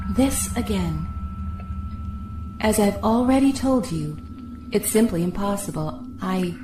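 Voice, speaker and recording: Lady playing a resigned sad prisoner in an audio drama, slow talker, very echoey with music behind. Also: medium pitch, close to an everyday voice. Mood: weary, resigned. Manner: slow, sighing, sorrowful. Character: weary, resigned female prisoner, unseen voice in darkness. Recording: echoey cell effect under music.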